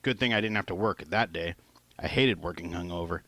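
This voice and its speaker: A man with a fairly low voice narrates a first-person story as an audiobook. His delivery is plain and informal, like an author reading his own work. The sound is dry and close, with little room around it. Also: a little fast, a bit bright, no room sound.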